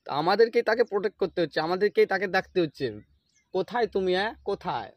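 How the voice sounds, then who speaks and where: A man rants in a high-pitched voice at a quick clip. He scolds and complains like an exasperated comedian, peppering the monologue with rhetorical questions. The sound is dry and close. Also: no echo. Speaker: comedy video creator. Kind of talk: comic ranting monologue addressed to the sun and seasons.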